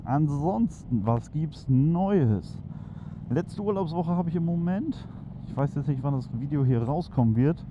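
A man talks loosely and eagerly while riding a motorcycle through city traffic, dropping plenty of fillers. His voice comes through a dull, muffled helmet headset. Wind, engine and street traffic roar clearly behind him. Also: a little high, animated, measured pace. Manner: chatty, enthusiastic, many fillers. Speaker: motovlog host. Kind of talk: casual chat while riding.

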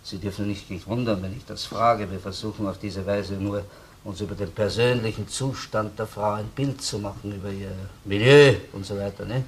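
An actor playing a courteous, dryly persistent police investigator in a German radio crime drama, questioning with little hums and tag words. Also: male voice, lowish voice, steady tone, moderate pace, lightly acted. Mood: probing, insinuating. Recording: noisy old radio play recording.

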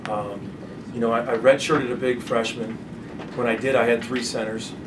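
A middle-aged man sits at a press table answering postgame questions as a basketball coach, halting and restarting as he repeats himself. The desk mic picks him up from a little way off, with a clear room hum behind. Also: medium pitch, fairly even intonation. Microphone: desk microphone from a distance. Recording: distant mic, clear background noise.

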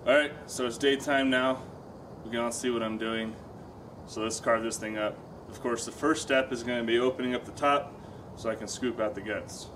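A man's voice: medium pitch, steady tone, moderate pace, echoey room, background noise. A man in his thirties hosting a home how-to video in a casual, jokey way, sometimes stumbling over his lines. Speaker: host of a woodworking channel. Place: home kitchen and backyard. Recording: distant mic with music underneath.

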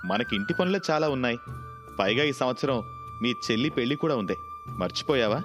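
A man's voice: mid-range voice, lively intonation, brisk, long reverb tail, music in the background, close to an everyday voice. A man voicing a practical, dismissive husband and breadwinner who reasons through a moral story dialogue. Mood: reasoning, impatient.

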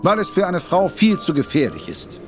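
An actor playing a proud, protective detective who speaks emphatically and with indignation. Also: male voice, high voice, huge pitch swings, normal speed, soft background music. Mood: indignant, lively. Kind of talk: German audio drama acting. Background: faint background score.

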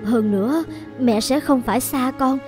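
A woman playing a gentle, anxious, devoted middle-aged mother in an animated fairy tale, with a coaxing, worried manner. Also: high-pitched, normal speed, music in the background, lightly acted.

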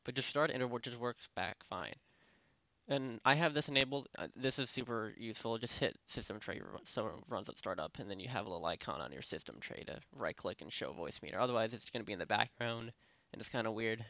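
A young man casually talking through a software tutorial at his home computer, rambling with lots of fillers and restarts. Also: lowish voice, expressive, fast, gravelly, dry recording. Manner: rambling, many fillers and self-corrections. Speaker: tutorial host. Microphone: desktop microphone at a PC.

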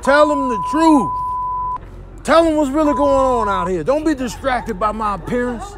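A man's voice: extremely high pitch, normal speed, crowd noise, noisy background. A man voicing an indignant, pushy insect in a comic voice-over, pleading urgently and repetitively in street slang. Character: indignant pushy talking insect demanding the truth.

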